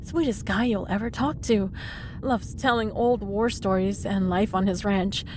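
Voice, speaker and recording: A cheeky female supervillain played by a voice actress in a comedy audio drama. Her voice sits at a middle pitch, and she teases with breezy, smug sarcasm, entirely at ease as a troublemaker. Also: measured pace, background noise, lightly acted. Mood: sassy banter. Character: cheeky, smug woman supervillain, frequent hospital patient. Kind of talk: comedic audio roleplay acting.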